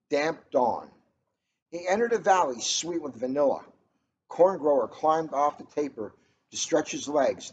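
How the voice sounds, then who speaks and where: A man reading a children's story aloud in an expressive, dramatic way, drawing out key words for emphasis. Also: medium pitch. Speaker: storybook reader. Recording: noisy, dull lo-fi recording.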